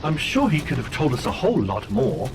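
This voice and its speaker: A man's voice: medium pitch, wildly swooping pitch, fairly quick, over a noisy crowd. A man playing a prim, polite but sadistic protocol droid in a comic dub, prissy and formal. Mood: politely disapproving. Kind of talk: dramatic comic dub acting. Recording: loud noise and ambience behind voice.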